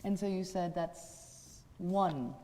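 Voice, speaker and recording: An American woman hosting a casual interview, agreeable and quick with short affirmations. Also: on the low side, a crowd in the background. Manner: agreeable, chuckles often, brief affirmations. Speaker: show host interviewing a guest. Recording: long hall reverb.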